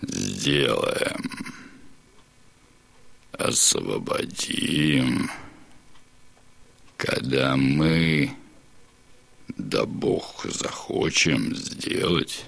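A grave figure of authority in an audio drama. His voice is low and creaky. He speaks extremely slowly, with terse, commanding weight and total self-assurance. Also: animated, fairly bright tone, heavily acted. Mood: solemn assurance. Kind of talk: theatrical audio drama acting.

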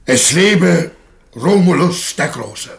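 An actor playing an earnest, commanding Germanic war leader in a radio play, with solemn, declamatory delivery. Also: male voice, fairly high pitch, animated, takes it slowly, creaky, exaggerated voice. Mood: proclaiming.

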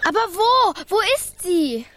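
A woman's voice: extremely high pitch, big pitch swings, unhurried, fairly bright tone, no echo, exaggerated voice. A woman playing a plucky, determined six-year-old girl, eager and bossy, in a children's radio play. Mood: impatient.

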